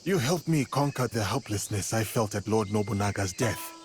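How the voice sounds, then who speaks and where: An actor playing a solemn, loyal warrior with a measured, reassuring manner in a video game drama. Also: male voice, fairly low pitch, animated, slight background noise, lightly acted. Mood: solemn, grateful.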